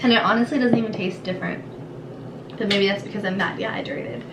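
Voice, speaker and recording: A woman's voice: medium pitch, fairly even intonation, quick pace. A young woman chatting casually in a vlog, rambling and informal, recorded indoors at home. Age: young adult. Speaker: vlogger and mother of a young child. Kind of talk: casual vlog chat to camera. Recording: echoey room, clearly noisy background.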